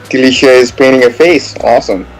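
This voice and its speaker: A man co-hosting an online painting contest, a former contestant, casual and excited, often saying "like". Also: mid-range voice, brisk, faint music behind, low-quality recording. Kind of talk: live online commentary.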